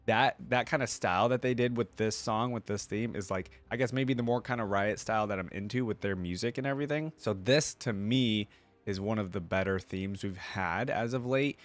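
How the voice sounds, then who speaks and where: A man's voice: fairly low pitch, normal speed. A man hosting a reaction video, giving casual, exclamatory commentary and repeating words for emphasis. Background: music playing behind the voice.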